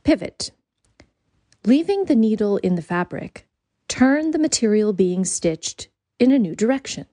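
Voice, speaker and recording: A woman's voice: medium pitch, big pitch swings, a little slow, warm tone, no echo, studio quality. A woman reading a literary short story as scripted narration. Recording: faint background noise.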